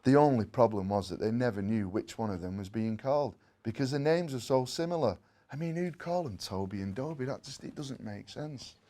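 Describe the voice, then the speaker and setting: A man reading a children's story aloud in an expressive way, with playful asides. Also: medium pitch, brisk. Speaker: story reader.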